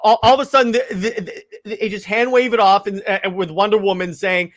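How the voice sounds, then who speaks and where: A man's voice: sits high, fairly even intonation, brisk, a bit bright. A man co-hosting a casual podcast chat about comics, stammering and restarting often as he argues his point. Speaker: podcast co-host.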